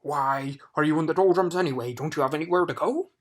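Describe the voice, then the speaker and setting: A woman reading aloud, voicing a gruff, ferocious male watchdog that growls and roars. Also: sits low, fast talker. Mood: furious. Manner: growling, loud and stern.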